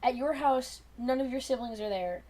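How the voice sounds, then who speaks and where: A young woman with a fairly high voice co-hosts a casual conversation. She talks in a rambling, animated way with a teasing edge, as if among friends. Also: normal speed, a crowd in the background. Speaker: podcast host. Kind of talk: casual group chat.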